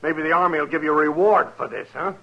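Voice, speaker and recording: Sharp-voiced, wary army deserter. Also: male voice, fairly high pitch, steady tone, gravelly, exaggerated voice. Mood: bitter, agitated. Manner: distrustful, halting, intense. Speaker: radio drama actor. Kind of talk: 1950s radio western acting. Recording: muffled, noisy old radio recording.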